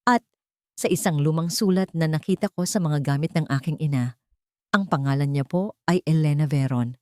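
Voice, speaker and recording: An anxious young woman caregiver speaks politely and hesitantly in a dramatic audio story, as a female narrator acts her out. Her voice is low for a woman. The recording is dry and close. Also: moderate pace, darkish tone, no room sound, close to an everyday voice. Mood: nervous, hesitant.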